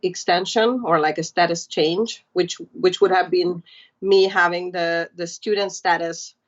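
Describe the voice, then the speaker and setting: Woman, from Hungary, thirties, Hungarian native speaker, low voice, dull muffled sound, rambling and chatty. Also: steady tone, a little slow. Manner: chatty, warm storytelling with many fillers. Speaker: podcast guest. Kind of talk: casual interview chat.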